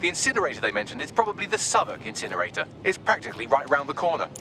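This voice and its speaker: Droll British AI assistant. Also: male voice, sits high, big pitch swings, racing, creaky, noisy background, exaggerated voice. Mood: matter-of-fact. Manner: droll, wry, theatrical. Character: sardonic, chatty male AI assistant. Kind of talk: video game voice acting.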